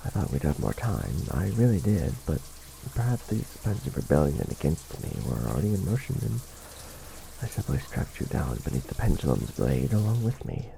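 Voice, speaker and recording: A man playing an ancient vampire lord in an ASMR romantic roleplay, formal and protective, with measured, theatrical diction. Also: very deep voice, big pitch swings, gravelly, mellow, slight background noise, exaggerated voice, poor audio. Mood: earnest, protective. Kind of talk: ASMR romantic roleplay, scripted character acting.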